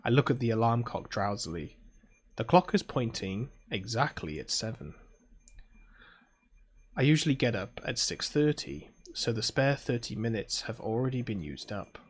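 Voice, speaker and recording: A man's voice: medium pitch, expressive, moderate pace, husky. A British man reading aloud as a sleepy, proud teenage girl mage, in a drowsy, grumbling first-person voice. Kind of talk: reading a visual novel aloud.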